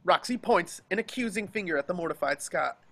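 A man's voice: high voice, animated, fairly quick, creaky, a bit bright. A man reading stage directions as scripted narration for a dramatic table read over a video call.

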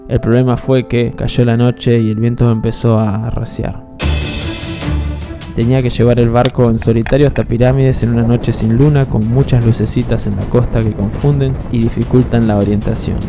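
A man with an Argentine accent narrating a sailing travel story as a voice-over. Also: mid-range voice. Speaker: sailor and video narrator. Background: music.

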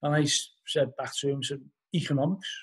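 A man's voice: medium pitch, fairly even intonation, a little fast, breathy edge. A middle-aged man with a Liverpool accent, a podcast guest telling rambling anecdotes packed with "you know", talking over a video call from home. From Liverpool, England. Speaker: podcast guest, band vocalist. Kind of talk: casual storytelling interview. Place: living room at home. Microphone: laptop webcam on a video call.

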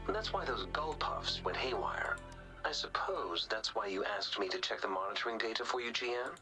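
An actor voicing a calm, analytical young male researcher in a video game, speaking in a measured, polite way. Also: on the low side, heavily acted. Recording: game dialogue over music.

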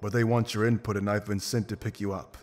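Man playing a low-voiced blunt wolf mercenary. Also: flat delivery, fast, lightly acted. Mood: explaining. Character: confident gruff male wolf mercenary, pragmatic. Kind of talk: video game voice acting. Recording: music behind. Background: game music.

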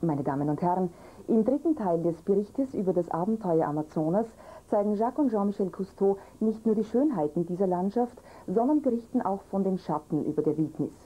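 A woman's voice: mid-range voice, expressive, brisk, warm tone, poor audio. A woman in her thirties with an Austrian accent, formally presenting the next programme as a TV announcer. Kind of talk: scripted announcement. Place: TV studio.

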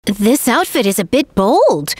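A woman's voice: a little high, very animated, measured pace, darkish tone, slightly rough, no room sound, clean studio sound, exaggerated voice. A woman voicing a polite, earnest young princess warrior in an anime game. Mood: mild, weary.

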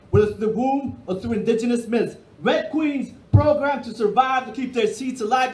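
A man from Peru with a notably high voice delivers spoken-word poetry in rhythmic, emphatic bursts. The sound is roomy, carried from a stage mic through a bar hall. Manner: rhythmic, emphatic delivery with sweeping hand gestures. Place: dark stage of a bar and music hall, indoors. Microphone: stand microphone on stage.

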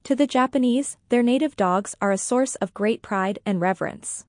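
A woman reading a scripted educational podcast narration in a measured, formal manner. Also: a little high, a little mellow, no echo, clean studio sound.

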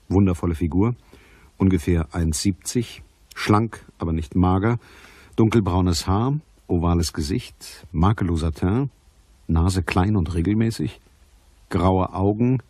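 An actor playing a sceptical private detective in a radio play, speaking in a dry, measured, matter-of-fact way. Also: male voice, sits low, expressive, dark tone, breathy edge, no echo, exaggerated voice. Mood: dry, narrating. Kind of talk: radio play narration and dialogue.

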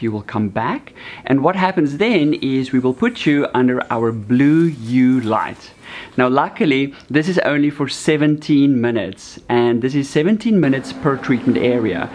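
A man in his forties with a Canadian accent, a doctor calmly explaining a treatment to patients in a bright clinic room, with a few fillers. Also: medium pitch, unhurried. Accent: Canadian English. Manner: calm, explanatory, with some fillers. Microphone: seated, medium shot to camera.

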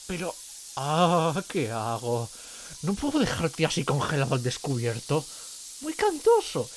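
A man playing a nervous, gentle gorgon who works as an artist, with a hesitant, flustered way of talking in an audio roleplay. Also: fairly high pitch, slight background noise, heavily acted, rough recording. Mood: anxious, apologetic. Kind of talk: dramatic ASMR roleplay monologue.

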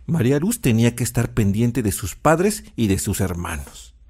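A man's voice: mid-range voice, sing-song, moderate pace, background noise. A man with a Mexican accent narrating a story in an informal, conversational and sympathetic way.

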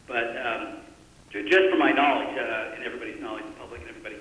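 A male council member with a mid-pitched voice works through budget questions in an echoey meeting room. He is polite and tentative, often breaking off and starting again. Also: steady tone, quick pace, fairly bright tone. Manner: hesitant, polite, self-interrupting. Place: indoor council chamber.

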